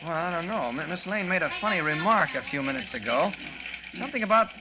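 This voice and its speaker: A noisy old radio recording carries a mild, courteous reporter. His voice is notably high and his delivery hesitant, in 1940s radio style. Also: lively intonation, fast talker, muffled recording. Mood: inquiring. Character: mild, polite, puzzled male newspaper reporter.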